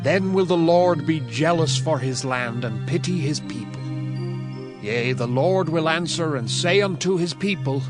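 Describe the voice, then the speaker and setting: A man narrating scripture dramatically, in a solemn, declamatory manner with measured phrasing. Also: on the high side, expressive, over loud music.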